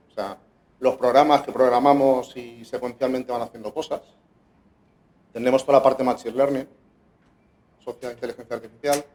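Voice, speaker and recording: Middle-aged Spanish man presenting at a conference, relaxed and hesitant. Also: mid-range voice. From Valencia, Spain. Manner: casual, hesitant with many fillers. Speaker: conference speaker. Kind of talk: conference talk.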